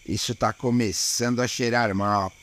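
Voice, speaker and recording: A man's voice: medium pitch, steady tone, measured pace, a bit bright, a little hiss or hum, natural voice. A man playing a weary, dogged small-town policeman in an audio drama, colloquial and grumbling, with a Brazilian accent. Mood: suspicious, muttering. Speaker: voice actor.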